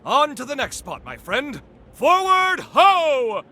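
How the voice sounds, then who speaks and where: A man playing a grandiose, eccentric, tea-loving gentleman in a video game, with a florid, pompous and theatrical way of talking. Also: sing-song, takes it slowly, no echo, full character voice. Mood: exuberant. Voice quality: high-pitched, swooping delivery. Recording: music behind the voice. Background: clear background music.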